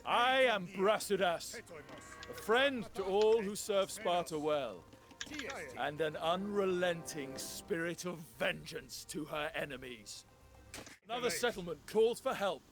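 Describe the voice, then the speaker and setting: An actor playing a noble, resolute Spartan commander in a historical video game, speaking in a formal, declamatory way. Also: male voice, sits high, animated, measured pace, fairly bright tone, faint music behind, heavily acted. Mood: stern.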